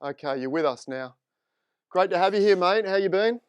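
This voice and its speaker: A man's voice: high voice, expressive, moderate pace, smooth, dull audio. A man with an Australian accent hosting a live stream interview, chatting informally and upbeat. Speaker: host. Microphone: desk microphone.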